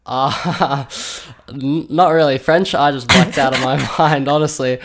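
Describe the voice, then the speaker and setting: A man's voice: fairly high pitch, steady tone, unhurried, fairly bright tone. A young man, chatting casually as a podcast guest, full of fillers and restarts. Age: late teens. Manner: hesitant, many fillers and restarts, laughs easily. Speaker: podcast guest, high school student. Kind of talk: casual chat.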